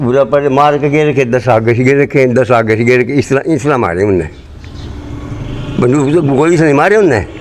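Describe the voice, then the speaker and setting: A man's voice: mid-range voice, darkish tone. A very old man with a Haryanvi accent, telling memories in a blunt, earthy, rambling way in an interview. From Rohtak, Haryana, pre-partition India. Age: 80s or older. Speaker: interviewee, partition migrant. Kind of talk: spoken reminiscence in interview. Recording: clearly noisy location sound.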